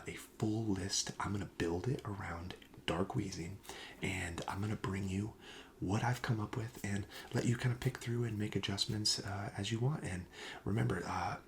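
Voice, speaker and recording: Guy acting as a friendly store clerk, asmr roleplay, soft lowish voice that drifts into whispers, reassuring, bit of background noise. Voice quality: soft, breathy, often slipping into whisper. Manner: hesitant, casual, accommodating salesman patter. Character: friendly, reassuring male card shop clerk.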